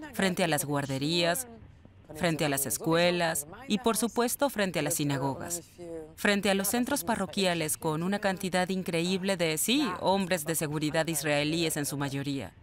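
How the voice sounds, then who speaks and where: A woman voicing a traveler's personal reflections for a documentary dub, at times halting. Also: fairly low pitch, a crowd in the background, natural voice. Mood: reflective.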